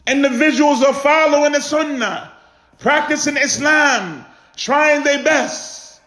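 High-pitched man preaching slowly and passionately. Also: bright, forceful tone. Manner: exhortatory, emphatic repetition and rhetorical questions. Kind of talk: impassioned sermon. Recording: echoey room.

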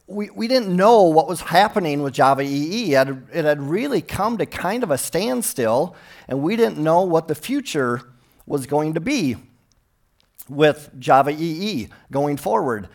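Man on a big conference stage, slightly high voice, easygoing. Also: lively intonation, unhurried, darkish tone. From Minnesota, United States. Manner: friendly, conversational, with restarts. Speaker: conference speaker. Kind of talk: technical conference talk. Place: large conference hall stage.

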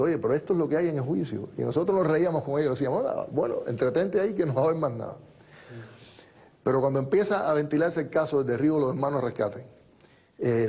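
Man, fifties, TV interview guest, warm husky voice, lapel mic, studio. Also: mid-range voice. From born in the United States, raised in Cuba. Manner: steady storytelling, recounting events in detail.

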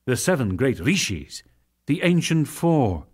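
A man performing a solemn divine lord in a dramatic scripture reading, declaiming in measured phrases with deliberate pauses. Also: mid-range voice, sing-song, a little mellow, slightly rough. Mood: solemn, exalted. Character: Krishna, solemn divine lord teaching a devotee.

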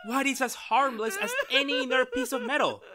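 An actor playing an arrogant, excitable male scientist, condescending and theatrical, in an old-style radio drama. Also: extremely high pitch, a little fast, over a noisy crowd. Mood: eager, dismissive. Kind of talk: retro 1930s radio drama acting.

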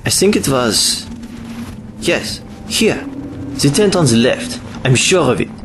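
A man's voice: mid-range voice, big pitch swings, slow, background noise. A man playing a helpful but unsure soldier in a war audio drama. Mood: eager, unsure.

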